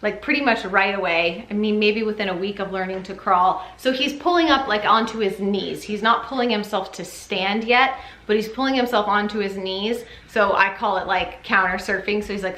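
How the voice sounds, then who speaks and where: A woman's voice: medium pitch, fairly even intonation, moderate pace, pushed and bright. A woman talking casually and chattily for a parenting vlog, rambling a little in a giggly, informal way. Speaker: parenting vlogger, mother. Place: upstairs bedroom at home. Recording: echoey room.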